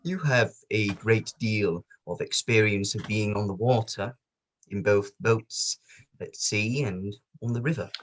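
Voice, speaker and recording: A man playing a formal, probing barrister who questions witnesses in a measured, courteous way, in a table read of a courtroom play. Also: on the low side.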